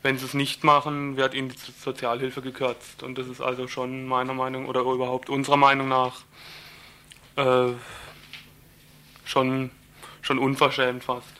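A man's voice: mid-range voice, monotone, moderate pace, long pauses, pushed and bright. A man giving an informal interview answer, hesitant and searching for words.

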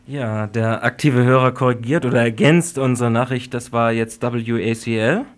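A man's voice: medium pitch, fairly even intonation, moderate pace. A man presenting news on live radio in a casual, hesitant way, with filler sounds.

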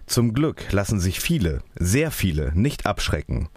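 A man's voice: deep voice, very animated, measured pace, darkish tone, breathy edge, no echo. A man, an activist, reading out a scripted political statement. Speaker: activist speaker. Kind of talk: scripted political speech read aloud.

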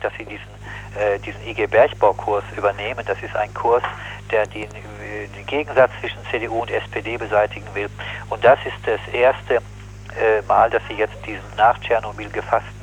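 A man speaking as an activist in a spontaneous political interview, critical and opinionated, halting and correcting himself as he goes. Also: fairly low pitch, a little hiss or hum. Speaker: anti-nuclear activist interviewee. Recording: muffled, lo-fi 1980s radio recording.

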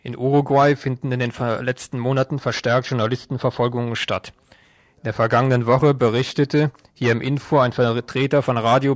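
A man's voice: medium pitch, dry recording. A man reading scripted news as a radio presenter.

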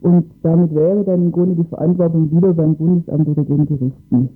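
A man's voice: fairly high pitch, steady tone, brisk, narrow, tinny audio, faint music behind. A man explaining matters in a radio interview, hesitantly searching for his words. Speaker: interviewed expert on asylum cases.

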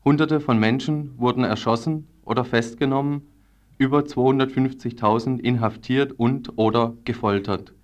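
Male radio news reader, average pitch, scripted political report. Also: steady tone, moderate pace.

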